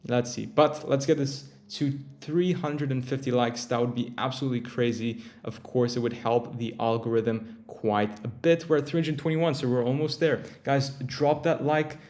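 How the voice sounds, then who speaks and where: Young male stream host, tired, chatty, breaks off mid-sentence, average pitch. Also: fairly even intonation, moderate pace, few pauses, a little mellow. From Turkey. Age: young adult. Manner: rambling, self-interrupting, chatty with viewers. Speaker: crypto livestream host. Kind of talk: casual livestream chat.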